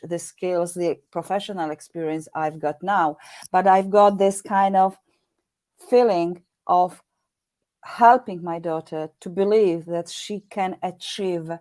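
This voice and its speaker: A woman's voice: fairly low pitch, darkish tone, no echo. A woman in her fifties from Poland with a Polish accent, a guest on a podcast interview, speaking earnestly and often repeating words while she searches for them. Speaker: guest, meditation teacher.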